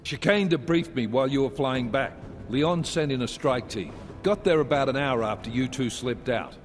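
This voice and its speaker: An actor playing a brisk, authoritative police task force chief in a video game. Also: male voice, medium pitch, close to an everyday voice. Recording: game cutscene with score.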